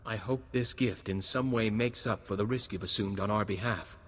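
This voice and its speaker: An actor playing a courteous noble-house envoy in a video game, with a husky voice. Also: male voice, lowish voice, moderate pace, in a large hall, lightly acted. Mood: grateful. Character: courteous, grateful male noble-house liaison.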